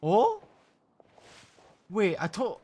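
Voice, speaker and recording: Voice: mid-range voice, huge pitch swings, drawn-out words, dark tone. A game streamer commentating casually, reacting aloud with swearing and reading game text aloud.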